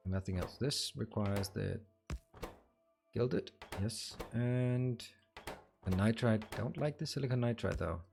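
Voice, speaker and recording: A man's voice: on the low side, takes it slowly, vocal fry, music in the background. A man streaming a game tutorial, chatting casually with viewers and thinking aloud as he builds.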